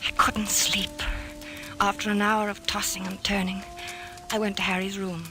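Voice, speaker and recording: An actress playing a worried, pleading wife in an old-time radio mystery, with dramatic, earnest delivery. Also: female voice, medium pitch, level tone, normal speed, loud music behind the voice, close to an everyday voice. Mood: somber narration. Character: worried, pleading wife recalling her husband's strange death.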